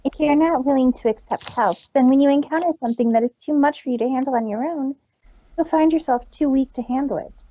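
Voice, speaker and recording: A woman's voice: high-pitched, fast, mellow, no echo, muffled recording, lightly acted. A woman voicing a proud but earnest female pony magician in a theatrical reading of fan fiction. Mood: earnest, reflective. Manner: theatrical, speaks of self in third person.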